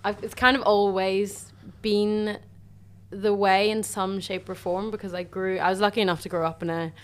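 A young woman from Ireland, a university student telling her faith story in chatty, warm casual talk full of "sort of" and "like". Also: mid-range voice, flat delivery, normal speed. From Northern Ireland. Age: young adult. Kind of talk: casual faith testimony chat.

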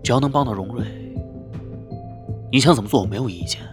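A man's voice: medium pitch, fast talker, natural voice. A man playing a proud, emotional young man in a modern Chinese romance audio drama. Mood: bitter, hurt. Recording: music behind the voice.

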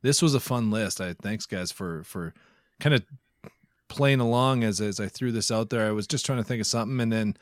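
A man's voice: lowish voice, a little fast, dry recording, clean studio sound. A man co-hosting a podcast, chatting casually with the others. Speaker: podcast co-host.

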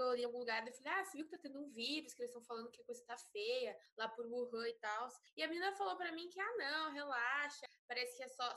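A woman's voice: high voice, a bit bright. A young woman with a Brazilian accent telling stories in a casual, chatty way as a podcast guest, acting out little quotes with slangy fillers. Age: young adult.

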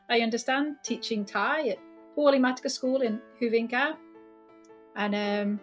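A woman with a fairly high voice hosts a podcast, speaking in a measured, welcoming manner. She introduces each guest at length. A faint guitar plays underneath her. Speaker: podcast host, senior lecturer. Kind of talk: friendly podcast hosting.

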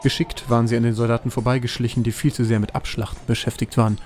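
Mellow male storyteller over soft music. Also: medium pitch, flat delivery, fast. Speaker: narrator. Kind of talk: scripted audio drama narration.

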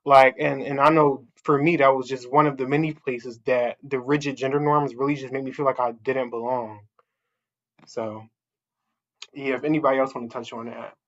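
Young adult man, chatty panel speaker, bright edgy tone, muffled lo-fi sound. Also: medium pitch, little pitch movement, normal speed. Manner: chatty, heavy use of "like" and "right?". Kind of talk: casual personal storytelling.

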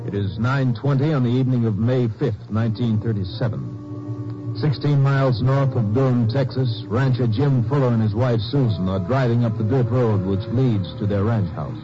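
Man narrating old radio drama, mid pitch, music behind, dull sound. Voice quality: measured, formal delivery. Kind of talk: scripted narration.

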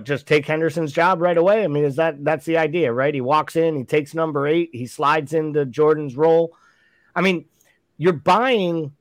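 A man's voice: fairly high pitch, no room sound. A man co-hosting a casual sports chat, arguing his points emphatically and firing off rhetorical questions. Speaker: podcast co-host.

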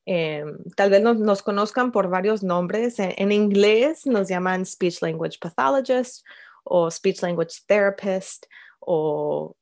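A woman from Chicago, a bilingual speech-language therapist, answering interview questions in an unhurried way with many fillers and small laughs. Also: mid-range voice, a little mellow. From Chicago, United States.

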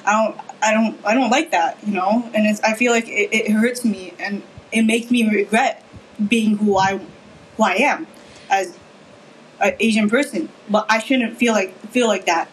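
Soft-spoken teenage Filipino-born girl. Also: medium pitch, normal speed, slight background noise, low-quality recording. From Philippines. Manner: soft-spoken, hesitant, repeats words. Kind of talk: casual discussion.

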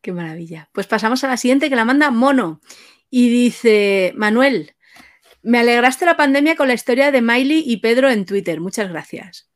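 A woman's voice: a little high, animated, moderate pace. A woman hosting a casual interview and reading out audience questions.

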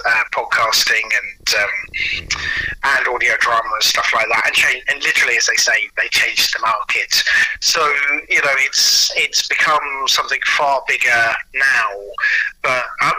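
Very bright-voiced British man, chatty. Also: medium pitch, slightly rough, low-quality recording. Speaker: interview guest, audio drama producer and writer. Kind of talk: casual anecdotal interview chat.

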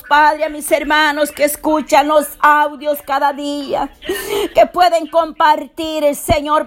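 A woman leading a fervent, emotional intercessory prayer, pleading and repeatedly calling out to God in a tearful voice. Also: sits high.

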